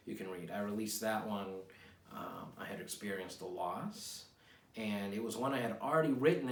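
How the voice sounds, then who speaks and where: A man in his thirties chatting casually to camera as a writer, rambling in a halting, self-deprecating way. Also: lowish voice, slight background noise. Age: 30s. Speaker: writer promoting own poetry book. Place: small home office room, indoors. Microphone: camera a few feet away. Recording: roomy, distant from the mic.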